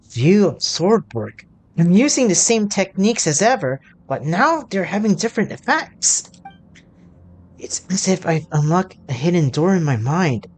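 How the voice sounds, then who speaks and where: A woman's voice: fairly low pitch, a little mellow. A woman voicing an earnest young traveling sword fighter from a video game, in a dramatic, declaiming manner.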